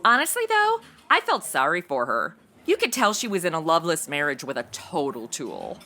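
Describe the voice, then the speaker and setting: A woman's voice: sits low, huge pitch swings, moderate pace, bright, forceful tone, full character voice. A woman playing a bubbly, ditzy young insurance seller in a comedy audio drama, with flippant, slangy Valley-girl chatter. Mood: breezy, candid.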